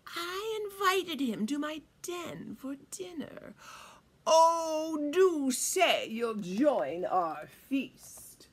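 A woman voicing a sly male fox villain in a storybook, falsely friendly, with wheedling, drawn-out lines. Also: fairly high pitch, sing-song, fairly bright tone, husky, heavily acted. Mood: sly, coaxing.

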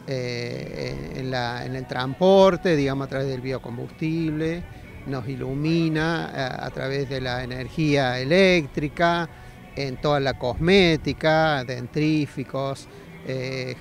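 A middle-aged man with an Argentine accent answering interview questions outdoors into a handheld mic, with halting, hesitant phrasing. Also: mid-range voice, creaky, faint music behind. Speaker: agronomy faculty representative being interviewed. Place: outdoors at a busy fairground. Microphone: handheld interview microphone.

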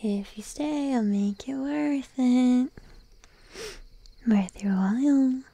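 Woman doing a timid clingy sheep girlfriend, asmr roleplay, breathy whispers, really slow and sleepy. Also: high-pitched, heavily acted. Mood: clingy, coaxing. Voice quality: soft, breathy, mostly whispered. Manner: timid, hesitant, drowsy, slow and cooing. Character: shy, needy young sheep-girl girlfriend, clingy and affectionate.

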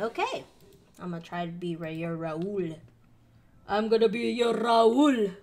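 A woman's voice: mid-range voice, slow, a little mellow. A woman in her thirties, a native Tagalog speaker, chatting casually on a live stream in a giggly, self-mocking way. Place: home room, indoors. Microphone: headset, webcam framing.

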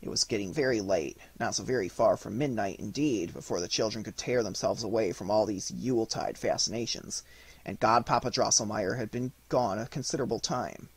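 A man's voice: on the low side, a little fast, breathy edge. A man reading an audiobook, giving scripted storybook narration. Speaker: audiobook reader.

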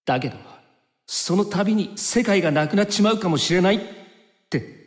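An actor playing an easygoing, soft-hearted young fighter in an anime game, casual in speech yet earnest. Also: male voice, sits high, lively intonation, normal speed. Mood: anxious, subdued. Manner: casual, breezy talk with an earnest core. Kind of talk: anime game cutscene acting. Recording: long reverb, music behind.

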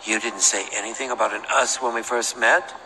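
A man's voice: mid-range voice, fairly even intonation, brisk, bright, forceful tone, soft background music, poor audio. An actor playing a suspicious, haughty man in a video game, with a curt, imperious and disdainful manner. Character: suspicious, haughty bearded man making a shady deal.

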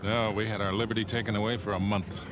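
An older actor voicing a gruff, worldly male smuggler in a video game, laid-back and chuckling. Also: fairly low pitch, flat delivery, quick pace, background noise, natural voice. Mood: wry. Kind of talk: video game cutscene acting.

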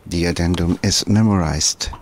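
Male, Chilean Spanish accent, low voice, halting amateur radio vlogger, slightly noisy handheld recording. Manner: halting, with many pauses. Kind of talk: informal narrated radio demonstration. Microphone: handheld camera's built-in microphone. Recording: noisy handheld camera audio.

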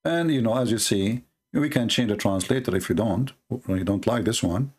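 A man's voice: on the low side, moderate pace, a little mellow. A man whose first language is Arabic, hosting a heated debate and reading from texts on screen in a mocking, combative way. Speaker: debate host. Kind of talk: screen-share debate commentary.

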